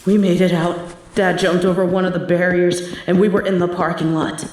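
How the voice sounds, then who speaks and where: Hushed young woman telling a horror tale. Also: on the low side, little pitch movement, moderate pace, a little hiss or hum. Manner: hushed, tense storytelling. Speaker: audio script performer. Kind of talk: scripted horror narration. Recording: very echoey room.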